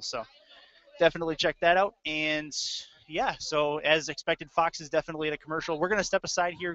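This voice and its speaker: A man hosts a live amateur sports broadcast. His voice sits a little higher than most men's. The sound is somewhat muffled and lo-fi, like a homemade internet stream. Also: pushed and bright. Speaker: sports podcast host and play-by-play broadcaster. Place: live from a cafe. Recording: dull lo-fi live stream audio.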